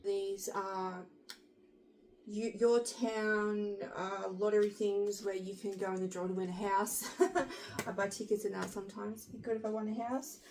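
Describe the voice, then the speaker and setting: A middle-aged woman with a husky, slightly nasal voice, hoarse from being sick, chatting frankly and rambling in a casual vlog filmed on a handheld phone. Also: medium pitch, flat delivery, moderate pace, a little mellow, slight background noise, rough recording. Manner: rambling, frank, self-deprecating, swears casually. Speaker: vlogger. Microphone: handheld phone camera.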